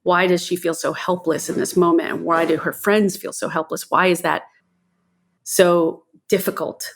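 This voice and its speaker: A woman's voice: on the low side, level tone, normal speed. A woman analysing in a discussion, earnest, posing rhetorical questions as she goes. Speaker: theater discussion panelist.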